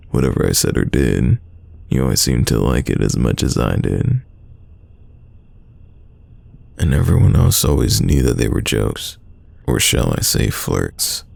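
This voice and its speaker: Man acting a very deep, gravelly teasing crush. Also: no room sound, heavily acted. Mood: fond, sincere. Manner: playful, teasing, soft close delivery. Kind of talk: romantic roleplay audio, intimate one-sided dialogue.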